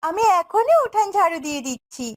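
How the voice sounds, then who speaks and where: A woman's voice: very high voice, very animated, a little fast. A woman playing a meek, kind, hard-done-by young stepdaughter in a fairy-tale cartoon, with a plaintive, dutiful way of speaking.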